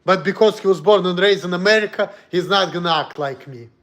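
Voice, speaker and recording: A man's voice: high-pitched, a little fast, a bit bright. A man from Russia with a Russian accent, talking bluntly and heatedly on a casual livestream in broken English. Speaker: streamer.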